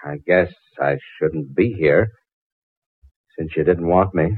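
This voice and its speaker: An actor playing a despairing, lovesick, weary young inventor with halting delivery, in 1940s radio drama style. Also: male voice, sits low, slightly rough, narrow, tinny audio, full character voice. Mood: dejected. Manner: halting, heavy-hearted.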